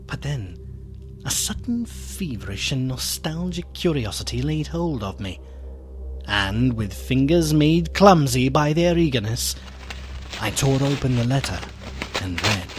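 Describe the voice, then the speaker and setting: An actor playing a melancholy, fearful gentleman who narrates a gothic radio drama in a solemn, literary, theatrical manner. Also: mid-range voice, sing-song, a little slow, faint music behind. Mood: wistful.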